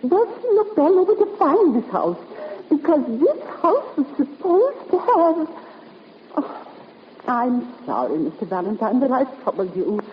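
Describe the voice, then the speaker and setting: An actress playing a fretful, prickly genteel spinster who is proud and easily flustered, in 1950s radio drama style. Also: female voice, fairly high pitch. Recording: muffled, hissy, reverberant old radio recording.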